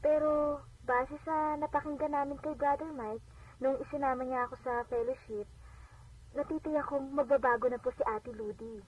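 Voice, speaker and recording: A woman portrays a respectful, reassuring household companion in a radio drama. Her voice is fairly high and comes through dull and distant, like an old radio playback with faint noise. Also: fairly even intonation, moderate pace, natural voice. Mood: hopeful. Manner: gentle, reassuring, polite.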